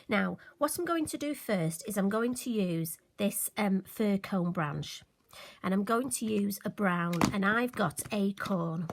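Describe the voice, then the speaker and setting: A woman's voice: medium pitch, expressive, unhurried, a little hiss or hum. Chatty woman demonstrating card making. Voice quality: occasionally croaky. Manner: chatty, self-deprecating, friendly asides. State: slightly hoarse, recovering voice. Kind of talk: casual crafting tutorial.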